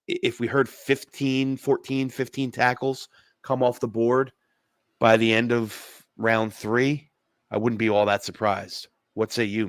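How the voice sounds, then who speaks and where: A man co-hosting a casual sports podcast, a little hoarse from yelling, rambling with lots of fillers and restarts. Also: fairly low pitch, dry recording. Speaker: podcast co-host.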